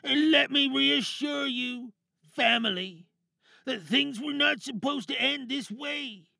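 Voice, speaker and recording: High-pitched, pompous villainous leader. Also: male voice, takes it slowly, vocal fry, sharp tone, no echo, studio quality, heavily acted. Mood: grandiose, reassuring. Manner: theatrical, pompous, menacing oratory. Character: grandiose, paranoid villainous cult-like leader addressing followers. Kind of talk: dramatic audio theatre monologue.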